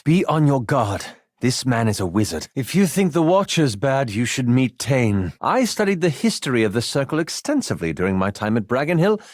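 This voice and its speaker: A man's voice: mid-range voice, no room sound. A man voicing a scholarly male elf wizard in a video game, with precise, formal diction and a dry, wryly sarcastic manner. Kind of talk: video game character dialogue.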